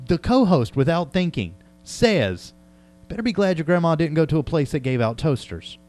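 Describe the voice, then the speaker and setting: A man's voice: a little high, very animated, moderate pace, darkish tone. A man chatting casually and conversationally as an interviewer. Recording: clear background noise with hum.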